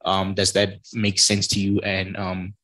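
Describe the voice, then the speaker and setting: A man's voice: low-pitched, completely flat delivery, measured pace, fairly bright tone, dry recording. A man asking questions from the audience, hesitant with many ums.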